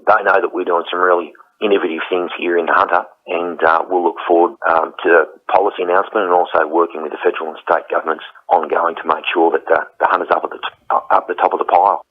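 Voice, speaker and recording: A man's voice: sits low, level tone, quick pace. A man with an Australian accent speaking as an executive in a news interview over the phone. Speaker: regional development chief executive. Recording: tinny phone line.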